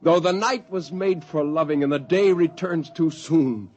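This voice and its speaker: An actor playing a brooding, literate spaceman turned zealot, intense and deliberate, in a 1950s radio drama. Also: on the high side, a little mellow. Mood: elegiac recital. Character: brooding, poetic male spaceman turned cold-blooded zealot. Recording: dull vintage 1950s radio recording.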